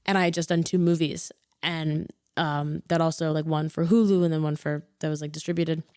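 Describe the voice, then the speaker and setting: A woman in her twenties, an actor and comedian, telling her story in a rambling, self-correcting way, growing animated when excited. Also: sits low, brisk, clear, resonant tone, no room sound, clean studio sound. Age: 20s. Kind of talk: casual interview chat.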